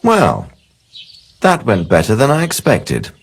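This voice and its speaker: An actor playing an authoritative middle-aged nobleman in a video game, composed, measured and firm as he gives orders. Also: on the low side, wildly swooping pitch, gravelly, husky, exaggerated voice. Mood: firm, persuasive.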